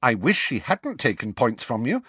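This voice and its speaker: A man's voice: medium pitch, huge pitch swings, moderate pace, a bit bright, slightly rough, no room sound, voice pushed up, exaggerated voice. A man voicing an earnest teenage schoolgirl in an audiobook, with a cautioning, admonishing manner. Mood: gently reproving. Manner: urgent, admonishing. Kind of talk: audiobook character voice.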